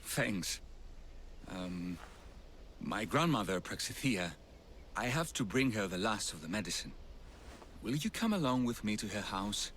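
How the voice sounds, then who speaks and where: Game role: kind, troubled village physician, lowish register, very animated delivery, slight background ambience. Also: male voice, unhurried, long pauses, slight background noise. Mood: hesitant, worried. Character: kind, earnest male village physician burdened by family worry. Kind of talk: video game cutscene acting.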